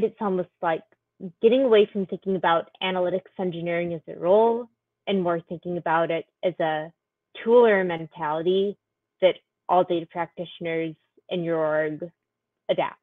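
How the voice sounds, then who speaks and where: A woman's voice: fairly low pitch, fairly even intonation, moderate pace, no room sound. Woman panelist on a tinny call line. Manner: casual, many "like" and "kind of" fillers. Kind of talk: panel discussion answer. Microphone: video call.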